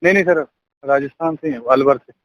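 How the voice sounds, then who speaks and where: A man's voice: fairly high pitch, a little fast, no echo. A young man from Punjab in India in a polite, deferential phone conversation, often addressing the caller as sir. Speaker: part-time network marketer being coached. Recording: dull lo-fi phone call, dry.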